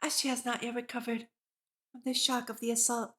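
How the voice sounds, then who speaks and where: A woman's voice: a little high, level tone, a little fast, fairly bright tone. A woman streamer reading a visual novel aloud, narrating and reacting as she goes. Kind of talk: livestream read-aloud with character voices and reactions.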